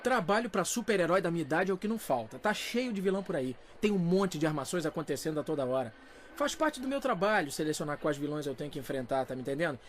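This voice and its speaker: A man playing a cocky, skirt-chasing young superhero in a dubbed animated film, chatting boastfully in Brazilian slang. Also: a little high, quick pace, close to an everyday voice. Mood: smug, casual.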